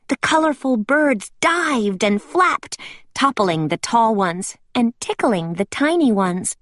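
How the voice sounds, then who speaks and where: Woman doing a kids read aloud, really slow and deliberate, dry close sound. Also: a little high, fairly bright tone, no echo. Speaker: children's storybook reader. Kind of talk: scripted read-aloud storytelling for children.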